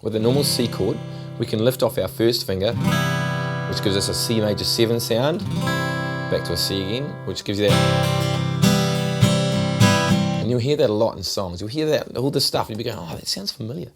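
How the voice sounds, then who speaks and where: A middle-aged man with a New Zealand accent, giving a relaxed, chatty guitar lesson. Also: lowish voice, normal speed, long pauses. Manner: relaxed, chatty, repeats himself while demonstrating. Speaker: guitar teacher. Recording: loud guitar over the voice. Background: acoustic guitar playing.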